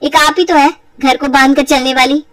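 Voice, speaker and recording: Shrewd, high-voiced young daughter-in-law, played by a woman. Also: fairly even intonation, moderate pace, a bit bright, no room sound, recorded from a distance. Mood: sweetly pointed. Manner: polite, pointed, insinuating. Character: shrewd young daughter-in-law, sweetly sly, weary of taunts. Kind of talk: acted Hindi moral story for cartoon.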